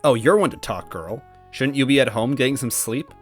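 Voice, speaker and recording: A man's voice: medium pitch, lively intonation, brisk, soft background music, pitched above the performer's own voice, natural voice. A man voicing a nosy, sassy female Goomba who likes to tease, in a story reading. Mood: teasing. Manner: sassy, teasing.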